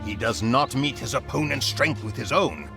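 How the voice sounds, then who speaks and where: Solemn war mentor, animated delivery over music. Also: male voice, medium pitch, gravelly. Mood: grave, instructive. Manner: formal, measured, instructive. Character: solemn male war mentor teaching ancient strategy to his commander. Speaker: narrator and tutor. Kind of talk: scripted game cutscene narration.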